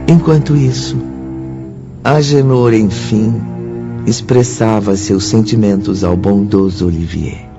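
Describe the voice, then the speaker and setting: An actor playing the grave storyteller of a spiritual radio drama, with dramatic scripted narration and a Brazilian accent. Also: male voice, fairly low pitch, slow pace. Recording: dull audio under loud music.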